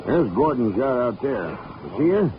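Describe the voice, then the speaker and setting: An actor playing a seasoned old sea captain in 1940s radio style, gruff and familiar, full of nautical slang. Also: male voice, mid-range voice, big pitch swings, normal speed, close to an everyday voice. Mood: salty, familiar. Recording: dull, noisy 1940s radio recording.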